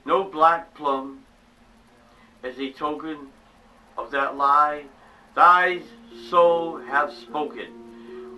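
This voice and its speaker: A man giving a theatrical poetry reading, swinging between loud declaiming and hushed low tones. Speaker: channel host reading a poem. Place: small home room set up as a paranormal museum. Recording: distant mic with faint music behind.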